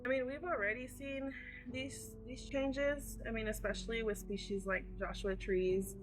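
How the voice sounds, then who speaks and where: A woman in her twenties speaking thoughtfully in a documentary interview, pausing with fillers. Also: fairly high pitch, flat delivery, music in the background, poor audio. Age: 20s.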